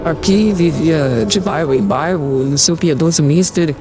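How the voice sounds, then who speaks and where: Male, higher register, actor as wretched young captive in audiobook drama, meek and fearful, music clearly underneath. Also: unhurried, natural voice. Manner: meek, mournful, pleading. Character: humble, miserable young male prisoner, fearful and pleading. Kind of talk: dramatized audiobook acting in formal language. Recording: music behind.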